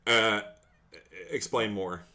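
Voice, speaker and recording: A man's voice: on the low side, slow, sharp tone. A man acting as game master in a casual tabletop role-play podcast conversation.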